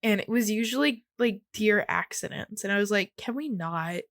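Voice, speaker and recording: A woman podcast co-host in casual, joking chat, teasing her friend in halting phrases. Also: mid-range voice, lively intonation, fairly bright tone, no echo, studio quality.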